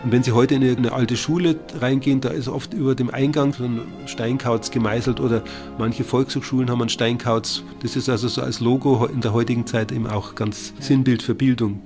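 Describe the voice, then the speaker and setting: Man explaining informally, clear music behind. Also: mid-range voice, steady tone, a little fast. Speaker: bird expert.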